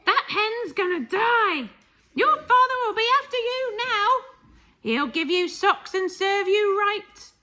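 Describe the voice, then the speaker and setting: A woman voicing a bossy old grandmother who shouts and scolds in a high, shrill voice. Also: sing-song, fairly quick, exaggerated voice. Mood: scolding alarm.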